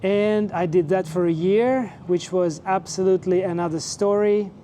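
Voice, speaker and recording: A man in his forties from Russia, speaking English, telling his own story in a relaxed, measured way with fillers. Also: sits high, slight background noise. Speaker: vlogger and teacher. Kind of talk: casual personal storytelling.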